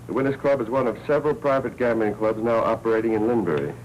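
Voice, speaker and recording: An American actor playing a brisk, tough man in a 1940s crime film, on a dull old soundtrack. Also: medium pitch, a little mellow, a little hiss or hum, lightly acted. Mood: businesslike.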